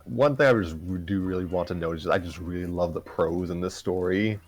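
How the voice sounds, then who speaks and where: A man's voice: low-pitched, a little fast, soft background music. A man chatting casually as co-host of a livestreamed story-reading show, with a dry, joking manner. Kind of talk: casual group chat on a livestream.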